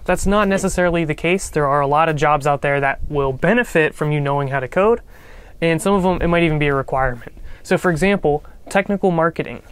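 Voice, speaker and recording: A man's voice: fairly high pitch, fast talker, clear, resonant tone. A young man with a nasal voice from a cold, hosting a casual coding tutorial with upbeat talk and joking asides. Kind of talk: casual instructional talk to camera.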